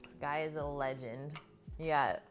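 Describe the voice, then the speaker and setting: A woman's voice: deep voice, steady tone, unhurried, faint music behind. A woman hosting a live cooking stream, talking in a chatty, informal way to viewers as she works and tells stories. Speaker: cooking livestream host.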